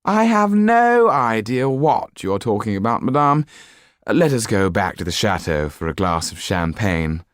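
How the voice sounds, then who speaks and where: A man's voice: lowish voice, big pitch swings, unhurried, no echo, clean studio sound, pitched above the performer's own voice. A man voicing a haughty, disdainful French marquis in an audiobook, speaking in a stiff, curt and pompous manner. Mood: stiff irritation.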